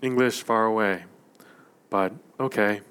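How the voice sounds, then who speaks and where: A man quoting his terse elderly grandfather in clipped, broken phrases, in a low smooth voice. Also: a little slow, fairly bright tone. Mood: blunt. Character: terse elderly male grandfather, blunt and wry.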